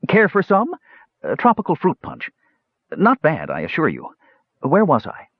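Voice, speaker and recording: A man voicing a dapper young gentleman in a fantasy audiobook, breezy and politely hospitable. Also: a little high, huge pitch swings, measured pace, breathy edge, no room sound, voice pushed up, exaggerated voice. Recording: slightly dull, lo-fi audio.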